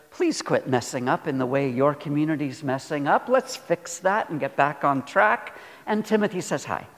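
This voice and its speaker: A man preaching a sermon as a pastor, earnest and deliberate, repeating phrases for emphasis. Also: on the high side. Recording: long hall reverb.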